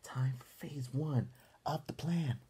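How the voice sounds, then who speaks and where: A man voicing a clever young animal boy in a storybook, measured and conspiratorial as he schemes. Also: medium pitch, dark tone, breathy edge.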